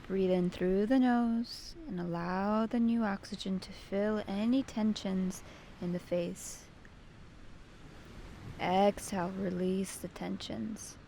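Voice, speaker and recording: A woman leading a guided meditation with gentle, soothing, unhurried instruction. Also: medium pitch, steady tone, creaky, a little hiss or hum.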